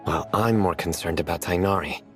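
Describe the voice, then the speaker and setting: A man voicing a stern warrior who speaks tersely with a dry, deadpan humor. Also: sits low, a little fast, faint music behind.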